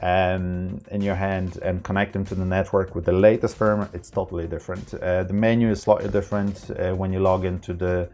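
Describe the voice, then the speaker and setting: A man with a low voice walks through a product tutorial in an informal, unscripted way. His delivery is halting and his phrasing is non-native. Faint music is in the background. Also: flat delivery, a little mellow. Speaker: tech tutorial presenter.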